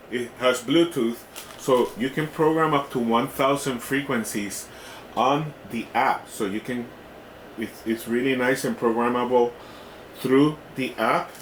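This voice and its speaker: A man giving a casual hands-on product demo in a halting way, often checking in with the listener. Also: mid-range voice. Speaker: product reviewer. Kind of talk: casual hands-on unboxing demonstration. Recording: roomy echo with clear background noise.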